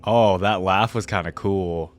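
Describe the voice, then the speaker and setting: A man's voice: deep voice, steady tone, a little slow, background noise. A young man hosting a reaction video, commenting casually as he goes.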